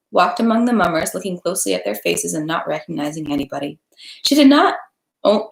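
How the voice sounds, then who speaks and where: A woman with a fairly low voice and a good deal of fry hosts a read-aloud. She is informal and chatty, and expressive when narrating. The recording is roomy, with clear echo. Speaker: host reading a novel aloud for online viewers. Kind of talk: casual introduction, then expressive storybook narration.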